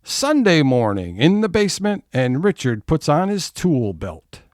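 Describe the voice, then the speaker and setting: A man narrating a scripted audio comedy as a deliberate, dramatic episode announcer. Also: fairly high pitch, wildly swooping pitch, a little mellow, no echo, studio quality. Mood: wry announcing. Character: wry storytelling announcer of a domestic comedy.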